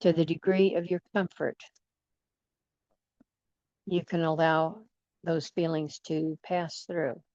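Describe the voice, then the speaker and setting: A woman leading guided imagery in a soothing, gentle manner, leaving long pauses between phrases. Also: deep voice, level tone, dry recording, highs cut off. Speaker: guided meditation leader.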